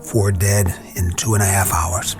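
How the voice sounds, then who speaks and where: An actor with an American accent playing a weary, troubled army officer, terse and brooding, in a radio war drama. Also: male voice, sits low, level tone, a little slow, music in the background. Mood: grim, bitter.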